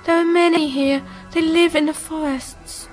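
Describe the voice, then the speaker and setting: Radio drama: serene moon spirit woman, played by an actress, high register, softly coaxing and alluring, light music underneath. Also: steady tone. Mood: serene, mysterious. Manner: gentle, coaxing, unhurried. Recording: music behind the voice.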